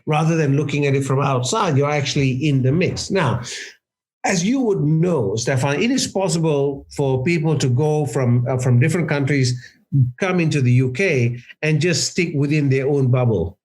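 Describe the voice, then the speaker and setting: A man's voice: on the high side, moderate pace, a little mellow. A man answering interview questions as a cross-cultural consultant, thinking aloud, restarting sentences and checking in with "right?". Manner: thoughtful, restarts sentences, often adds "right?".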